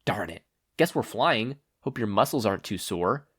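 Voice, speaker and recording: A man's voice: lowish voice, lively intonation, moderate pace, fairly bright tone, husky. Man voicing a breezy, confident woman hero. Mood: upbeat. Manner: casual, teasing, colloquial.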